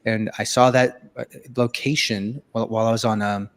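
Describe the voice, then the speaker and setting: A man's voice: fairly low pitch, level tone, brisk. A man born in Venezuela, a filmmaker and musician, telling his life story in a casual interview with many fillers and restarts.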